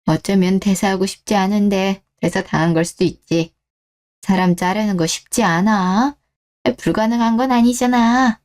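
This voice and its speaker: A woman's voice: mid-range voice, normal speed, a little mellow, close to an everyday voice. A woman playing a caring, perceptive older father in a scripted radio drama. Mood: probing, reasoning.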